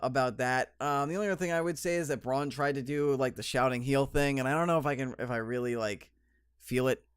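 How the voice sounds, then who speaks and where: Young male podcast host, under the weather, smooth mid voice, chatty and full of ums, dry close sound. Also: mid-range voice, no room sound. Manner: rambling, lots of ums and restarts, enthusiastic. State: slightly sniffly with a cold. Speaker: podcast host reviewing wrestling. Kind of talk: solo casual podcast review.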